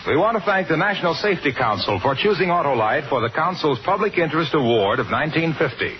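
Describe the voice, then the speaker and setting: A man's voice: on the high side, lively intonation, fast talker. An American man reading scripted copy as a commercial radio announcer, with a polished, emphatic 1950s sales delivery. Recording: muffled old radio broadcast, music behind.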